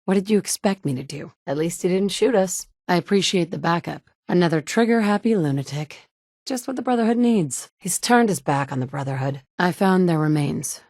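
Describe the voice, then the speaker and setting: Blunt wasteland survivor. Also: female voice, fairly low pitch, a little fast, a little mellow, no room sound, studio quality. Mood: steady. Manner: terse, matter-of-fact, blunt. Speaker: player character in a video game. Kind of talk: video game voice acting.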